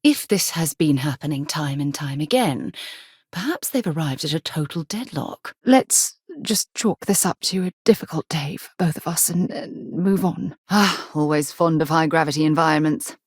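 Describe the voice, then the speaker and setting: An actress playing an earnest, principled woman space explorer in a video game, speaking in an articulate, expressive way. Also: low-pitched, normal speed, husky, dry recording, clean studio sound. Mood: composed, thoughtful. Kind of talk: video game character dialogue.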